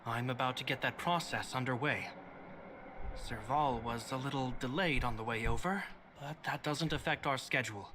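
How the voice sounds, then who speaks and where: A man voicing a composed, dutiful young officer in a game who gives a calm, orderly briefing. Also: mid-range voice, moderate pace, slight background noise, natural voice. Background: game music.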